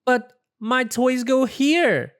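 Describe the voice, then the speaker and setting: A storybook reader voicing a worried, exasperated little rabbit, protesting with expressive emphasis. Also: high-pitched, takes it slowly, clean tone, pitched above the performer's own voice, full character voice.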